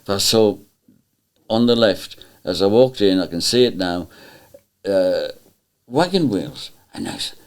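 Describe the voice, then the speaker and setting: A man's voice: low-pitched, big pitch swings, measured pace, clean tone. An older man who writes and performs in pantomime, telling a rambling anecdote and repeating phrases for effect. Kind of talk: anecdotal storytelling.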